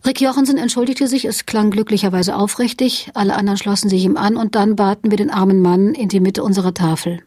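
A woman's voice: mid-range voice, fairly even intonation, fast talker, few pauses, dark tone, no room sound, clean studio sound, natural voice. A woman performing a wry storyteller who recounts a literary gathering in a radio play. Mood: wry storytelling.

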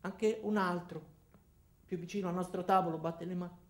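A man narrating a first-person comic monologue as an actor, in a deadpan, terse manner. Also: sits high, moderate pace, echoey room.

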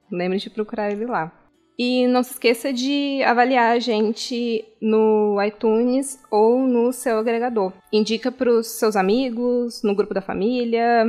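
A woman with a Brazilian accent co-hosting a podcast, speaking in a casual sign-off style. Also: on the high side. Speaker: podcast co-host.

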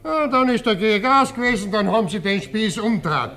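An actor playing an argumentative villager at an inn, with an Austrian accent and an insistent, plain-spoken way of talking, in a radio play. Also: male voice, high voice, animated, a little fast, sharp tone, exaggerated voice. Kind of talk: German radio play dialogue.

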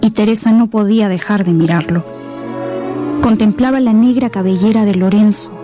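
A woman giving measured, scripted dramatic narration for a radio novel. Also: mid-range voice, music in the background.

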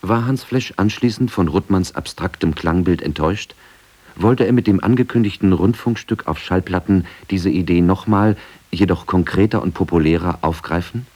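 A man's voice: sits low, normal speed, darkish tone, breathy edge. A man narrating a scripted radio documentary.

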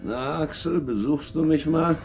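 An actor playing a hardened, domineering middle-aged sea captain and war veteran in a German radio drama, brooding and clipped. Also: male voice, a little slow, darkish tone, faint music behind. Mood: gruff joviality. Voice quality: gravelly, creaky low voice. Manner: brooding, clipped, swinging from hearty to menacing murmur. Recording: noisy 1950s radio recording.

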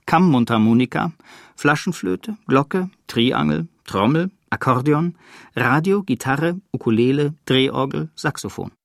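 An actor playing a plain announcer reading out an inventory in clipped items in a radio drama. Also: male voice, mid-range voice, expressive, a little slow, gravelly, no room sound. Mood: matter-of-fact.

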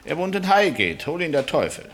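An actor playing an obliging, gossipy hotel keeper in a German radio play, deferential but salty. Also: male voice, on the high side, big pitch swings, a little fast, fairly bright tone, slight background noise.

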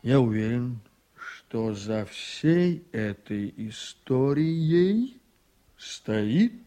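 An actor playing a tipsy, insistent prosecutor who speaks with weight in a radio play. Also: male voice, mid-range voice, sing-song, drawn-out words, warm tone. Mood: insistent, suspicious. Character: insistent male prosecutor, after much beer.

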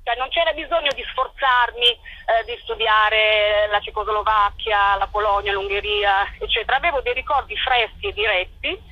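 A woman answering interview questions as a playwright and performer, in a colloquial, animated storytelling way. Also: mid-range voice, moderate pace, no echo, lo-fi sound.

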